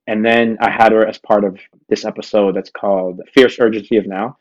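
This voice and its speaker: Male podcast host, lowish voice, informal and slangy, conversational interviewing, dull muffled recording. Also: level tone, brisk.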